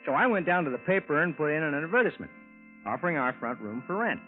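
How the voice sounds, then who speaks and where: An earnest, animated American everyman husband. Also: fairly high pitch, fast, faint music behind. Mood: earnest, recounting. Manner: plainspoken, conversational, earnest, expressive. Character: ordinary, earnest, mildly puzzled husband and landlord. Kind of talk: 1950s radio drama acting, first-person narration. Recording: thin, phone-like vintage radio recording.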